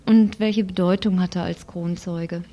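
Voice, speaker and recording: A woman's voice: on the low side, fairly even intonation, unhurried, mellow. A woman working as a radio interviewer, putting questions to a guest.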